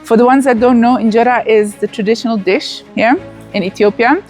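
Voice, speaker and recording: A woman in her thirties, raised in the Netherlands, narrating a casual travel vlog outdoors at an event venue, chatty and excited, with fillers and restarts. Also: a little high, brisk, soft background music. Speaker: vlogger.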